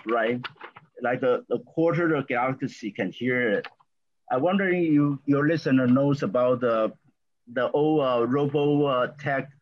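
Halting man with a Taiwanese accent. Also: mid-range voice. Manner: halting, rambling, many fillers and restarts. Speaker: guest. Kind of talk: casual interview talk. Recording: dull lo-fi audio.

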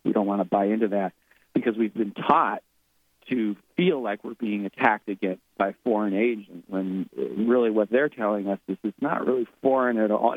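A man who is a guest on a radio interview speaks reflectively and often repeats words while he searches for the next ones. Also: fairly low pitch. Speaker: guest, author and medium. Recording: tinny phone line.

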